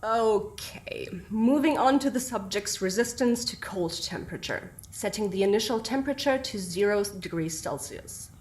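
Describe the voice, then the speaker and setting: An actress playing a reserved research scientist in an audio drama, speaking in a formal, measured, deadpan way as if dictating a log. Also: female voice, mid-range voice, lightly acted. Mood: clinical. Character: reserved, clinical female research scientist, drily unamused.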